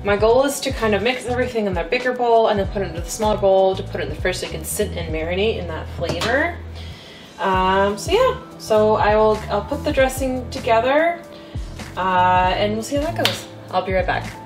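A woman's voice: mid-range voice, a little fast. Chatty woman in an echoey kitchen. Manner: chatty, self-deprecating, thinking aloud with fillers. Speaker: cooking vlogger. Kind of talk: casual cooking vlog. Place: home kitchen, indoors. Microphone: camera mic from across the kitchen. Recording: roomy kitchen, distant mic, music behind. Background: background music.

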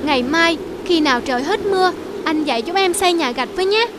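A woman's voice: very high-pitched, lively intonation, brisk, noisy background. A woman voicing a timid, childlike young rabbit in a cartoon, exclamatory and eager. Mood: apologetic. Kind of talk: cartoon dubbing.

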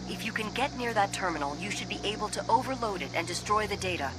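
A woman's voice: expressive, fast, close to an everyday voice. An actress playing a composed female alien scientist in a sci-fi game, speaking formally and precisely. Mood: grave, urgent. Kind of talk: scripted video game dialogue. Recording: loud music and engine rumble behind.

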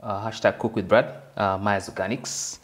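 A man's voice: lowish voice, fairly even intonation, moderate pace. A young Kenyan man working as a guest chef, demonstrating a dish in an indoor studio kitchen, easygoing and joking with frequent fillers, recorded on a lapel mic. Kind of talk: cooking demonstration chat.

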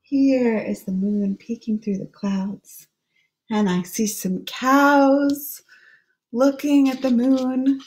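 A woman in her thirties gently reading a children's picture book aloud and explaining the pictures as she goes. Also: high voice, steady tone, slow pace, low-quality recording. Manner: gentle, explaining pictures between read lines. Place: small room at home.

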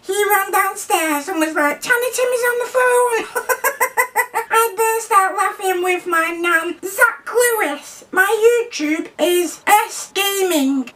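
A man voicing an excitable, cheeky little boy character with a squeaky, helium-like voice, gushing in a childlike way as he opens fan mail. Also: recorded from a distance, full character voice. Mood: chatty, playful. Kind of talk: playful unboxing and letter reading.

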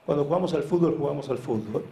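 A man's voice: on the high side, lively intonation, quick pace, gravelly, dark tone. A man with a Buenos Aires accent giving an informal conference talk, earnest and emphatic, often repeating words and checking in with listeners. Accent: Argentine, Buenos Aires. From Argentina. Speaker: speaker, head of a social sports foundation. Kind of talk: conference presentation, informal storytelling. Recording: echoey room, live talk.

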